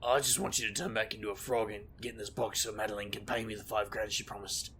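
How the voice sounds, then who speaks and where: A man's voice: lowish voice, little pitch movement, quick pace, fairly bright tone, a little hiss or hum, natural voice. A man playing a wry, weary investigator for hire, dry and sardonic, in a comic audio drama. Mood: sardonic, fed up.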